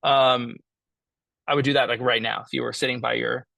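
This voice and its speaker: A man giving an informal lesson as an audio engineer, explaining his tools in a halting way as he thinks aloud. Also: mid-range voice, level tone, gravelly, sharp tone, no room sound. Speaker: mixing engineer teaching a class.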